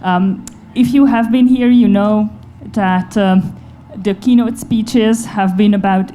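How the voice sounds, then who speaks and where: A woman's voice: mid-range voice, fairly even intonation, slow, mellow. A woman moderator introducing a panel discussion at a live event. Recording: faint background noise, live event ambience.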